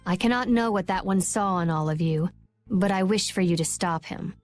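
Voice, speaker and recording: An actress playing an aloof female advisor who speaks in a cryptic, measured, formal way. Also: on the low side, natural voice. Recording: game music underneath.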